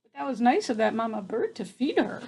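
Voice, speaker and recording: An older woman reading a picture book aloud to a child, expressive, with casual asides and exclamations. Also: on the high side, brisk, vocal fry, husky.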